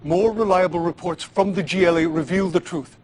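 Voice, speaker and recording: An actor playing a solemn, boastful propagandist war reporter in a game, declaiming a scripted TV news report. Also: male voice, sits high, steady tone, a little fast, darkish tone, close to an everyday voice. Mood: defiant, proud.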